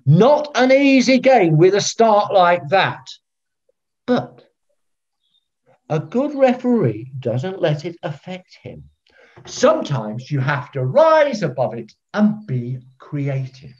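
A pompous, eccentric older football referee in a comedy. His delivery is theatrical and self-important, with a very animated pitch. The sound is dull and lo-fi, like a video call. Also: slow pace, full character voice. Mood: defensive. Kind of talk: filmed comedy acting. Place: room at home, on a video call.

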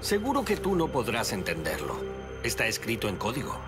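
A man playing an eager, smooth-talking, obsessive expedition leader in a cartoon, with a Latin American accent. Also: lowish voice, wildly swooping pitch, fairly quick, loud music behind the voice. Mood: mysterious.

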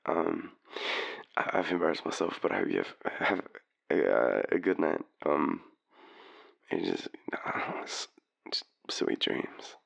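A very low, heavily creaky Australian male voice from an actor playing a drunk young man with a crush. He stammers and apologises in an intimate audio roleplay, halting between murmured phrases. The pitch wanders widely, and the recording sounds slightly dull. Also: sing-song, fairly bright tone, full character voice. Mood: hushed, tender. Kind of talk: intimate boyfriend roleplay, as a late-night phone call.

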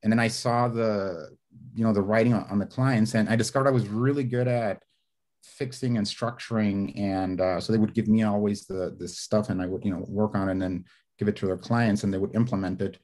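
A man's voice: on the low side, fairly even intonation, brisk, a little mellow. Man rambling on a video call. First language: Spanish. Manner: rambling, many fillers and restarts, gesturing. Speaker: screenwriter guest. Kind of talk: casual interview over video call. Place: home study with bookshelves. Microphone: video call webcam.

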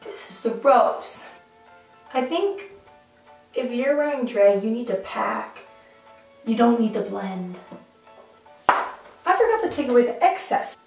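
A young woman with a fairly high voice chats casually and jokes at her own expense while doing her makeup. She is recorded from a little way off in a small tiled bathroom that rings with echo. Soft music plays faintly behind her. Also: expressive, takes it slowly, gravelly, pauses often, far from the mic. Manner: playful, self-mocking, laughs often. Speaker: beauty vlogger. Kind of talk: casual makeup vlog chat. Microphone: camera mic at arm's length. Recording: echoey bathroom, light background music.

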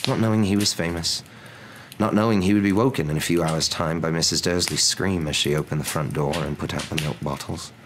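Young male storybook narrator, ASMR style, very low register, faint noise behind. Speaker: narrator reading a novel aloud. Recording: noticeable background noise.

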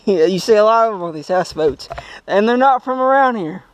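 A young man jokes and teases his way through a show-and-tell, laughing as he goes. His voice sits high for a man. It is caught outdoors on a lake by a small action camera. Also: lively intonation, normal speed, poor audio. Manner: playful, teasing, laughs often. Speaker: vlog host. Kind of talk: joking boat tour. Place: outdoors on a bass boat on a lake.

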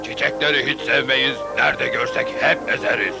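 A man voicing a gruff, destructive monster villain with a gravelly voice, chanting in a dubbed cartoon. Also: mid-range voice, fairly even intonation, normal speed, over loud music. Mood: menacing, gleeful.

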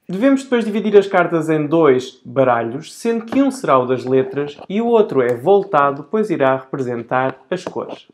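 A man in his thirties with a European Portuguese accent, presenting a step-by-step tutorial in a didactic way, speaking directly to viewers. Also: on the high side. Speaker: board game channel presenter. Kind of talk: explanatory tutorial to camera. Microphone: lapel microphone.

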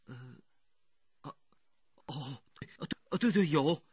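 A man's voice: on the high side, animated, slow, a little mellow, slightly rough, no room sound, dull audio, full character voice. An actor playing an anxious rural working man who pleads earnestly and hesitantly in a radio crime drama. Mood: flustered.

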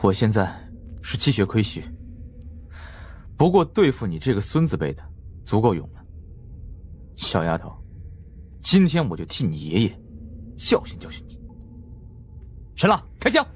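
A stern audiobook investigator, acted by a man, speaks in terse commands with quiet menace. The voice is low and lively in pitch, wrapped in long hall reverb. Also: normal speed, long pauses, a little hiss or hum. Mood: menacing. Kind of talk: audiobook character acting.

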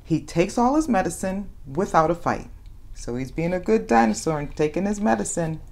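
A woman's voice: fairly low pitch, normal speed, vocal fry. A woman hosting a children's read-aloud, telling a picture-book story expressively and dramatizing it for young listeners. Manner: animated, dramatizes questions and exclamations for young children.

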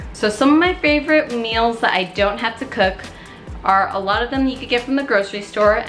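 A woman's voice: fairly high pitch, fairly bright tone. A young woman vlogging in her home kitchen, talking straight to the camera in an upbeat, chatty way and calling her viewers "you guys.". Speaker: health vlogger. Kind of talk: direct-to-camera tips vlog. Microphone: camera at close range. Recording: roomy kitchen echo, faint music behind.

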